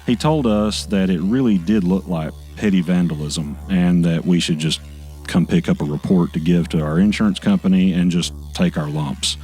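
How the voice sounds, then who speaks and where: A low-key male narrator, played by an actor tells a mystery in the first person. His voice is very deep and his delivery measured and matter-of-fact. Music plays beneath the narration. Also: a little mellow, close to an everyday voice.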